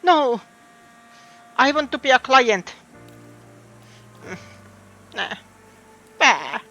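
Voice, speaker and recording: High, bright Finnish-accented man. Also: very animated, drawn-out words, faint music behind, poor audio. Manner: musing, hesitant, thinking aloud. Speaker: streamer. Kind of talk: casual gameplay commentary.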